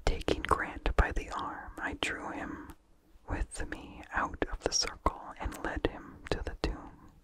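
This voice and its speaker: A person reading a vintage horror story as ASMR in a soft, hushed, deliberate whisper with no voice behind it. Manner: slow, hushed, deliberate delivery. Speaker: narrator.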